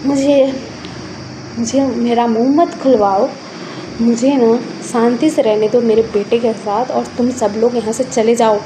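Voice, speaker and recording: Woman voicing an anguished, stubborn father, high-pitched. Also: fairly even intonation, unhurried, noisy background, close to an everyday voice. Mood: angry, accusing. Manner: accusing, repeats questions insistently. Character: anguished, stubborn, protective parent, a man, quick to anger.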